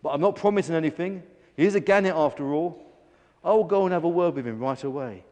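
A man voicing a reasonable, earnest gull in a children's story, gently scolding a friend. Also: on the high side, fast talker, long reverb tail, lightly acted. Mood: puzzled, reluctant.

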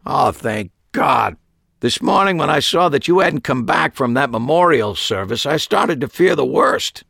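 A man's voice: mid-range voice, expressive, a little slow, creaky, bright, forceful tone, breathy edge, dry recording, clean studio sound, voice pushed up, exaggerated voice. A man voicing an exasperated elderly male magic shop owner in an audiobook. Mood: fretful. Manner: exasperated, emphatic.

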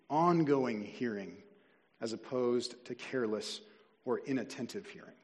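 A man preaching a sermon as a pastor, earnest and deliberate, slowing down to stress key words. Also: mid-range voice. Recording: long reverb of a large hall.

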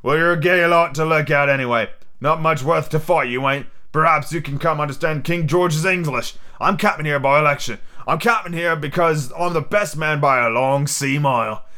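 A man's voice: a little high, moderate pace, hardly any pauses, sharp tone, pitched above the performer's own voice. A man voicing a domineering old pirate sea-cook captain with swaggering, threatening seafaring talk. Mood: commanding.

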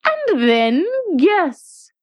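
A woman's voice: high-pitched, huge pitch swings, drawn-out words, dry recording, muffled recording, pitched above the performer's own voice, exaggerated voice. A woman voicing a stern, bossy elderly queen who gives orders very decidedly. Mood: commanding. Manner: decided, commanding.

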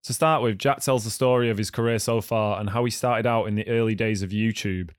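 A man hosts his podcast, his voice slightly croaky today but still smooth and resonant. He talks in a friendly, enthusiastic way, recorded close and dry with no room sound. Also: fairly low pitch, steady tone, brisk, dry recording. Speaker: podcast host. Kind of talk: podcast intro and casual chat.

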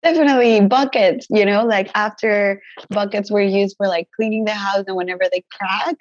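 A woman's voice: mid-range voice, steady tone, moderate pace, no echo, muffled recording. A young woman from Mexico, a native Spanish speaker, chatting casually as an interview guest with many "like" fillers and easy laughs. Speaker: guest herbalist.

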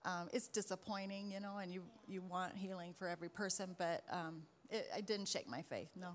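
A woman, a missionary guest on a church panel, speaking hesitantly with many pauses and ums. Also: fairly low pitch. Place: church hall with audience.

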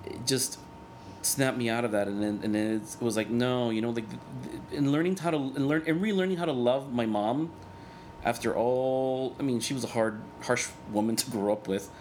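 A man in his thirties whose first language is Spanish, speaking as a guest in a candid interview, earnest and reflective, often halting and restarting. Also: medium pitch, a little mellow, a little hiss or hum. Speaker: guest, cartoonist and organizer.

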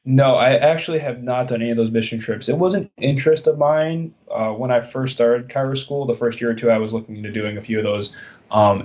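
A man's voice: on the low side, dull audio. A young man from Long Island, New York, talking casually as an interview guest. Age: young adult. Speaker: podcast guest, recent chiropractic graduate.

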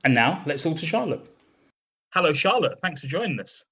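A man hosting a comics podcast, asking questions with frequent restarts and soft laughs. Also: a little high, sing-song, a little fast, breathy edge. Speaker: podcast host. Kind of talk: casual interview.

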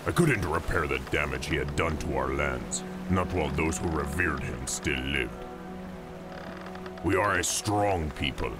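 A brooding orc prince in exile, speaking low and slowly with terse bluntness. The voice is gravelly, over music, in a fantasy audio drama. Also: male voice. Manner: terse, grim, matter-of-fact. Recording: music under the voice.

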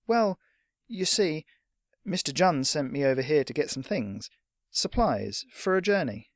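An eager young lad from a fantasy audiobook, played by a grown male narrator, with a raised pitch. He speaks earnestly and politely, a little hesitant and naive. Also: very animated, unhurried, no room sound, clean studio sound.

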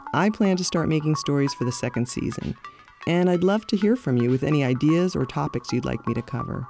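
A middle-aged woman hosting her podcast, narrating in a reflective, measured and candid way. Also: low voice, mellow. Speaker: podcast host. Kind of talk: scripted personal narration.

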